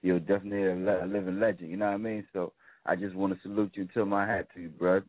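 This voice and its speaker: A deep-voiced man from the American north talks quickly and flatly on a narrow phone line. He is a casual, admiring caller full of slangy tags. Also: no echo. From northern United States. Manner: slangy, admiring, frequent "you know" tags. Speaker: fan calling in to a radio show. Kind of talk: casual chat. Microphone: phone call. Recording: dry, tinny phone line.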